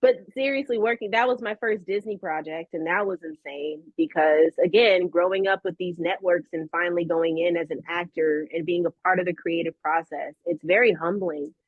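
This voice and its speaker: An American woman chatting casually as an interview guest, expressive, earnest and effusive in how she talks. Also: on the low side, moderate pace, few pauses, no room sound, narrow, tinny audio. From California, USA. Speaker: voice actress, podcast guest.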